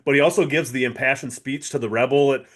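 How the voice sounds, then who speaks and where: A man's voice: medium pitch, steady tone, quick pace. A man co-hosting a podcast, chatting informally and appreciatively as he reviews television. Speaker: podcast co-host. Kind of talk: casual TV review chat.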